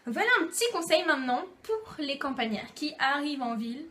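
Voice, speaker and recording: Chatty high-voiced young woman vlogger. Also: sing-song, brisk, a bit bright. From France. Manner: chatty, playful, acts out little dialogues. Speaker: YouTube vlogger. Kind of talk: casual comic monologue to camera.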